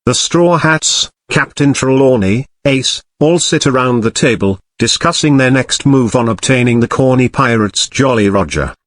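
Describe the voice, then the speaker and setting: A man's voice: medium pitch, moderate pace, hardly any pauses, dry recording. A man reading scripted narration for an audio drama.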